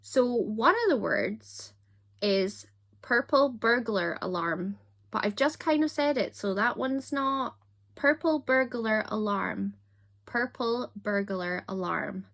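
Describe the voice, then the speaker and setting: A young Scottish woman with a softened accent, chatting casually to camera in a self-deprecating, giggly way. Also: a little high, animated, slow, creaky. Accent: Scottish, softened toward standard British. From Scotland. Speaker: YouTuber.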